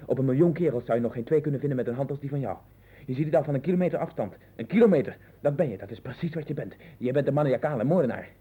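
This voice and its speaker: Restless, obsessive man, husky, Netherlands accent, rambling and insistent; 1960s radio play, tinny narrow-band sound. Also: medium pitch, animated, rapid-fire, hardly any pauses. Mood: accusing, insistent. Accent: Netherlands Dutch. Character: restless, obsessive man sinking into a strange inner world. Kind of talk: 1960s Dutch radio drama acting.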